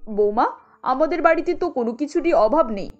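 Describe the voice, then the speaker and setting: An older mother-in-law of a cartoon drama, acted by a woman. She admonishes in a measured, high voice. Faint music plays behind. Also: expressive, highs cut off. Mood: reproachful. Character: reasoning, reproving older mother-in-law, female. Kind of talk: cartoon story acting.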